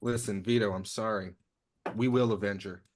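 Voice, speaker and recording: A man in a comedy script table read playing an earnest, work-minded agent who speaks plainly and a little haltingly. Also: on the low side, steady tone, a little hiss or hum, natural voice. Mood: earnest, wary. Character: earnest, work-minded male secret agent, uneasy partner.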